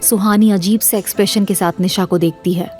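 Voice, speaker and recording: A woman's voice: mid-range voice, steady tone, fairly quick, a little mellow, soft background music. A woman narrating a scripted audio drama as the storyteller.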